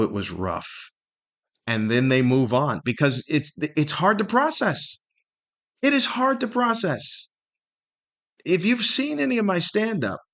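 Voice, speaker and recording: A middle-aged man with a mid-range voice speaks alone as a podcast host. His delivery is candid and conversational, like someone thinking aloud. He pauses to find the right word and often repeats a phrase as he reflects. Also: mid-range voice, fairly bright tone. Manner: candid, conversational, thoughtful with searching pauses and repetition. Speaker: podcast host, stand-up comedian. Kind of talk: reflective solo podcast monologue.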